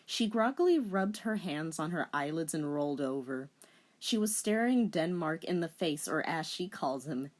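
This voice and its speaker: A woman hosting a video in a playful, tongue-in-cheek way, with casual scripted commentary and dramatic reading. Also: low-pitched. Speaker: YouTube host and narrator.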